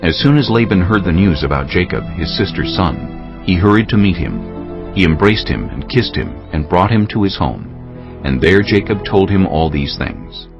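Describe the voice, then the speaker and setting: A man's voice: deep voice, expressive, normal speed. A man narrating a dramatized audio Bible in a solemn storytelling style. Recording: slightly dull sound, music underneath. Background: music.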